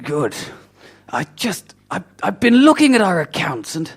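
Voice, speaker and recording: Flustered, pedantic small-airport manager male improviser, lightly high voice, big pitch swings, hesitant delivery, comedy radio play. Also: sing-song, a little slow, breathy edge, heavily acted. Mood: puzzled, hesitant. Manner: hesitant, stammering, fussy.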